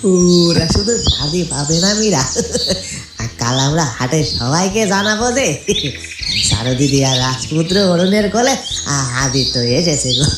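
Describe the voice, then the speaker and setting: A man's voice: on the high side, lively intonation, normal speed, heavy background noise, close to an everyday voice. A man playing a goofy, big-hearted village sweet-shop worker in a cartoon, stammering cheerfully and boastfully. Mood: cheerful boasting. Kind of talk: cartoon comic voice acting. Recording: echoey, loud music underneath.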